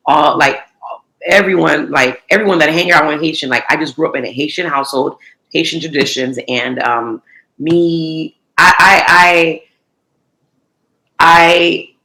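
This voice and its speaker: Chatty woman with a bright voice. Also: deep voice, unhurried, low-quality recording. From United States. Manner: chatty, many restarts and filler words. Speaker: recycling entrepreneur, guest. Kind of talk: casual interview talk.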